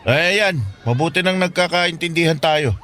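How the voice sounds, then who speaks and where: A man's voice: fairly high pitch, normal speed, natural voice. A man with a Filipino accent playing a kindly, preachy older bachelor from the slums, a fatherly guardian in a radio drama. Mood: kindly, teasing. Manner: fatherly, admonishing, colloquial.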